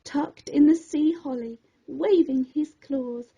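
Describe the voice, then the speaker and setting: A woman reading a rhyming children's bedtime story aloud in a theatrical, expressive way. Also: sits high, takes it slowly.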